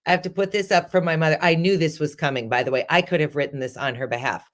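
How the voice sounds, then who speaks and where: Host, woman in her 40s, slightly low voice, animated, laughs easily. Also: quick pace. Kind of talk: casual interview.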